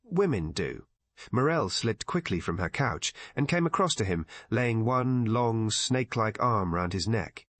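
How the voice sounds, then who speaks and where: A male narrator reads story prose in a fairly low voice. His delivery is very expressive in pitch, and the recording is dry and close. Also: unhurried, hardly any pauses, no room sound. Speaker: audiobook narrator.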